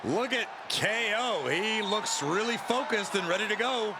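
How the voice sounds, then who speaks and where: A male ringside commentator reacts in a high voice. His wrestling game commentary sits in a very noisy arena. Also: animated, a little slow, crowd noise. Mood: excited.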